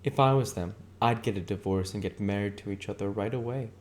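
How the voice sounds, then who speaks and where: A man reads as an eager, gossipy young woman in a novel, in a raised pitch. She sounds confiding and insistent, full of opinions. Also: fairly quick, a little mellow, close to an everyday voice. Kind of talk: audiobook character dialogue.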